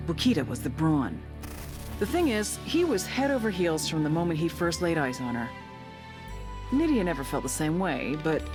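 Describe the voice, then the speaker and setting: A tough, cynical female intelligence agent narrating a cartel briefing in a video game. Her voice is low and swings widely in pitch as she talks. She is blunt, sardonic and foul-mouthed, with loud music under her. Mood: wry storytelling. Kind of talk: scripted dramatic narration in a video game cutscene.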